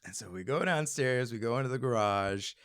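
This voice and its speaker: A man telling a personal story on stage in an animated, conversational way with comic timing. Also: medium pitch, fairly quick, smooth. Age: adult.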